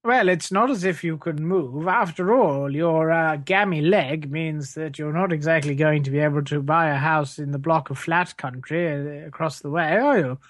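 A man's voice: a little high, normal speed, hardly any pauses, fairly bright tone, no echo, lightly acted. Man playing a shifty, rambling British reverend. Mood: blithe, rambling. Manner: hesitant, evasive, rambling, falsely polite. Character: shifty, menacing, blithely shameless parish reverend. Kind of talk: improvised comedy sketch.

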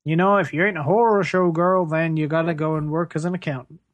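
A performer playing a whiny, earnest working-class space plumber in an improvised mock interview, rambling in a plaintive deadpan. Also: medium pitch, fast talker, no echo, natural voice. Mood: resigned.

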